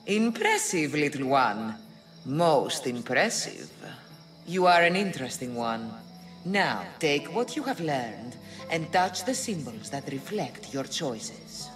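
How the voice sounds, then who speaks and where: Ancient sphinx monster from a video game, grand n taunting, really swoopy pitch, music under it. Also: female voice, sing-song, takes it slowly, exaggerated voice. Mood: impressed. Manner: grand, taunting, theatrical riddle recital. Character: ancient riddling Sphinx, imperious female monster guarding power. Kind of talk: video game character acting.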